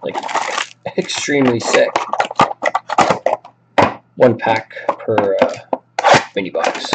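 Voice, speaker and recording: A man hosting a sports card break, a bit sick with a raspy voice, listing names and teams and chatting casually. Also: on the low side, slow, heavy background noise. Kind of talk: live card break commentary.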